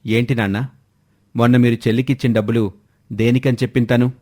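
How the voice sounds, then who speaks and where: Cartoon eldest son voiced by a man: resentful, demanding, quick-talking, pushing for answers. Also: mid-range voice, level tone, a little mellow, voice pushed down, close to an everyday voice. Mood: confronting. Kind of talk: animated moral-story character voice.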